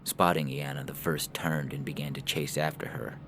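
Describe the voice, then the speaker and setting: A man reading scripted dramatic fiction as a narrator, with measured, deliberate phrasing. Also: extremely low pitch, flat delivery. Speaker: story narrator. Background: music.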